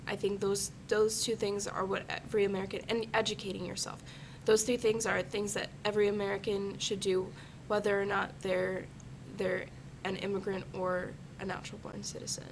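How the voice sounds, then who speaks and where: A young woman student on a discussion panel, speaking in a halting, measured way and restating her points. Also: level tone. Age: teens to young adult. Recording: clear background noise and rumble.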